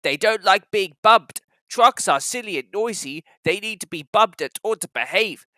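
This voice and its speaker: A storyteller acts out a sensible older male steam engine in a children's storybook. The voice is bright and animated, giving kindly advice like a mentor. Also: mid-range voice, moderate pace, no room sound. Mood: cautioning. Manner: advising, puffing when working.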